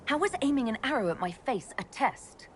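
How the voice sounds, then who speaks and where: Woman acting a composed elf leader, lively inflection. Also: a little high, fairly quick, slight background noise, lightly acted. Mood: challenging. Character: composed young female elf mage, leader of an order. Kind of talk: video game character acting.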